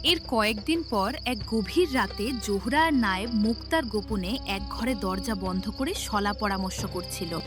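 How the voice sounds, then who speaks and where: A woman delivering scripted, dramatic narration for an animated story as its storyteller. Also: mid-range voice, moderate pace, hardly any pauses. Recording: music behind the voice.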